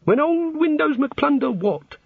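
A man plays a vague, fussy god in a storybook, high and swooping in pitch. He rambles and dithers like a distracted, bewildered inventor. The recording is a little dull. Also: big pitch swings, a little slow, creaky, dry recording, exaggerated voice. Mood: puzzled. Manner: dithering, absent-minded, rambling.

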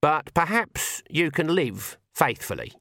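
A man's voice: a little high, sing-song, slow, creaky, pushed and bright, no echo, clean studio sound, pitched above the performer's own voice, exaggerated voice. A man voicing a fierce, stubborn old country witch woman, blunt and folksy with an emphatic, scolding manner, in an audiobook. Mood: firm advice.

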